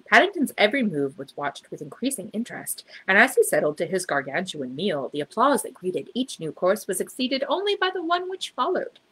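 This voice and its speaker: A woman reading a children's story aloud in expressive narration. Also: medium pitch, brisk, few pauses, husky, poor audio. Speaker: storybook reader.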